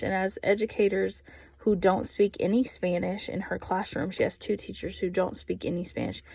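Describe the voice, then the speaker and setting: A woman in her thirties with a Texas accent, an ESL aide giving a thoughtful spoken reflection with frequent pauses and ums. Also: mid-range voice, creaky, darkish tone, no echo. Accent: Texan. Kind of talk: spoken reflection on a course video.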